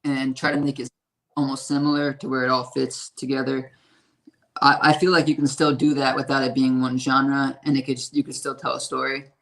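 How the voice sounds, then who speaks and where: A man with a raspy, hoarse voice, talking about his music in a casual interview and rambling with lots of fillers and swearing. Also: medium pitch, little pitch movement, a little fast, fairly bright tone, poor audio. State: hoarse from a strained voice. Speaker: independent musician.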